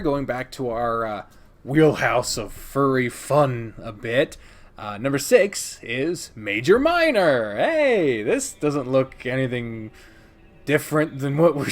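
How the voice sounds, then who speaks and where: A man with a mid-pitched voice presents a countdown in a casual, enthusiastic style. He leans hard on his words for dramatic effect and drops in playful asides and swearing. Music plays softly behind him. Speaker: host of a game video channel. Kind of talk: casual, enthusiastic top-ten countdown commentary.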